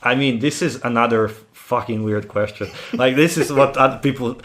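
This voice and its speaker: A man's voice: medium pitch, normal speed, a bit bright, slight background noise. A man from Croatia whose first language is Croatian, co-hosting a casual comedy podcast in a wry, argumentative way.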